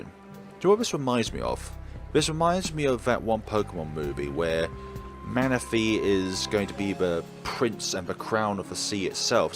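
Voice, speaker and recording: A man hosting a let's play rambles through joking asides as he reads. His voice is gravelly and very lively in pitch, with game music playing clearly behind him. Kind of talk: reading a visual novel with asides.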